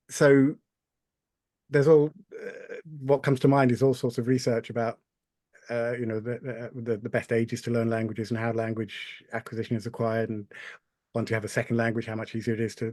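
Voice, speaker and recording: A man's voice: mid-range voice, fairly even intonation, normal speed, a little mellow, no room sound. A man on a video call joining a panel discussion, thoughtful and hesitant, often repeating words. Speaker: panel participant.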